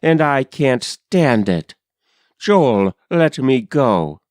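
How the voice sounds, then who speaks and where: Frightened rural wife in a poem, played by a man: headstrong, insistent, pleading, smooth-toned, dry recording. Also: medium pitch, very animated, extremely slow, darkish tone, dry recording. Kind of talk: dramatic poetry reading.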